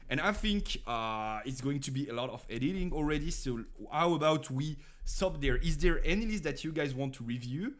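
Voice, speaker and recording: A man's voice: on the high side, animated, moderate pace, hardly any pauses, a bit bright. A young man from France with a French accent, streaming casually and chatting with viewers about card game decks, rambling with many fillers and restarts.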